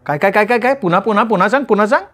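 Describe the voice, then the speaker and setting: Male reader doing a high, playful young woman; chatty teaser in a storybook reading. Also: close to an everyday voice. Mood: teasing. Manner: teasing, quick, bantering. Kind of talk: storytelling with voiced characters.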